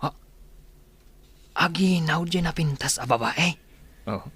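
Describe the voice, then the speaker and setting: A man's voice: fairly high pitch, slow pace, fairly bright tone, husky, exaggerated voice. A man acting a puzzled, gossipy servant in an Ilocano radio comedy drama. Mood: curious.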